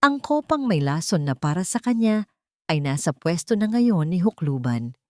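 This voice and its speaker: A woman narrating a scripted story in a deliberate, suspenseful, dramatic way. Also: lowish voice, big pitch swings, no echo.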